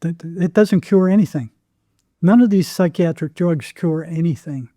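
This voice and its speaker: A man talks as a podcast guest in a very dark, mellow voice that sits a little higher than average. The sound is close and dry, and he explains in anecdotes, leaning on repeated phrases for emphasis. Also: normal speed, dry recording. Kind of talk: anecdotal interview talk.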